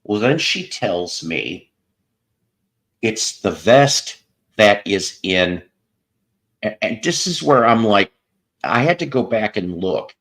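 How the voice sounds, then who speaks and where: A man hosts a loose, unscripted chat and has a soothing, relaxing voice. He talks very slowly and rambles, with a wide, lively rise and fall in his pitch. He trails off and restarts his sentences often. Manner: slow, rambling, with many restarts and pauses. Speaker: host. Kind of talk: casual storytelling chat.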